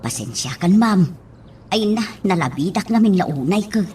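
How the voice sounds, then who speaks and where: A woman's voice: medium pitch, expressive, moderate pace, a little mellow, a little hiss or hum, close to an everyday voice. A woman playing a flustered, deferential household helper in a radio drama. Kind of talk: Ilocano radio drama acting.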